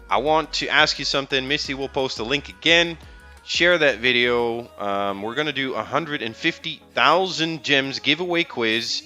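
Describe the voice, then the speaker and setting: A man's voice: mid-range voice, bright, forceful tone, clear, resonant tone. A man speaking English, giving casual, rambling game advice on a live stream with many fillers and restarts. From Netherlands. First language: Dutch.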